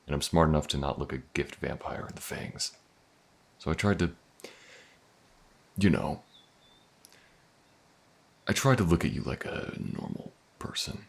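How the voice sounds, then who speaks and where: Man acting a very deep, gravelly hardened mercenary. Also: big pitch swings, heavily acted. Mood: gruff, hesitant. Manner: hesitant, halting, dry. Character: hardened gruff mercenary man, guarded but protective. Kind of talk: fantasy audio roleplay acting.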